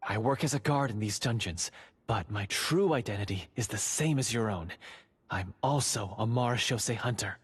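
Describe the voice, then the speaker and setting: Husky secretive dungeon guard. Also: male voice, fairly low pitch, normal speed, faint music behind. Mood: urgent. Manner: hushed, urgent, earnest. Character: secretive male dungeon guard, covert hunter ally. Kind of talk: game voice acting.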